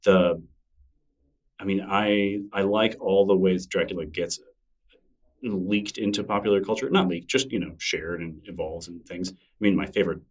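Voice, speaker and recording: A man with a very low voice talks his way through answers in a relaxed, wandering manner, circling back with fillers and restarts. He sounds set back from the microphone. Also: normal speed, far from the mic. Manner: thoughtful, rambling, with frequent fillers and restarts. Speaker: interview guest, newsletter creator. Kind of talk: casual conversational interview.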